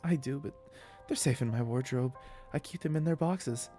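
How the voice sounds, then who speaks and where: A man's voice: medium pitch, normal speed, warm tone, faint music behind, close to an everyday voice. A man voicing an anxious, awkward, eager schoolgirl in a dramatic story reading, rambling earnestly and easily flustered. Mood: defensive, embarrassed.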